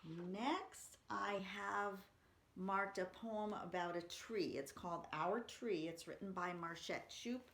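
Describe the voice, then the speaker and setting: Slow-paced woman reading poems in an echoey room. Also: medium pitch. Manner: simple, explanatory, expressive when reading aloud. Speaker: library story-time reader. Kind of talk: poetry reading for children, chatting between poems. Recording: roomy, echoey room sound.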